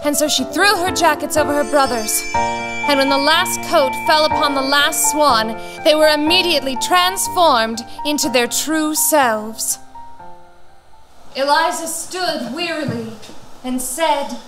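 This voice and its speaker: A woman narrating a fairy tale as an expressive storyteller. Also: high voice, a little slow, music in the background.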